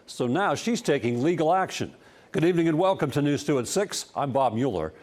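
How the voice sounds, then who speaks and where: A man's voice: on the high side, animated, fairly quick, a little mellow. A man anchoring local television news in a scripted broadcast style.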